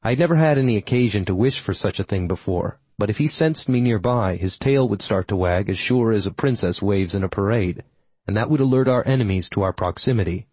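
A man reads a fantasy story aloud in the first person, keeping his delivery steady and even. His voice sits low and sounds warm and slightly husky. The recording is dry and close, with no room sound. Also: a little fast, no echo, low-quality recording. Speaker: audiobook narrator.

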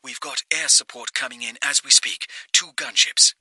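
A man voicing a composed, businesslike woman official on the phone in an audiobook, soft and breathy, with clipped delivery. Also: mid-range voice, moderate pace, dry recording, voice pushed up. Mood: brisk, businesslike.

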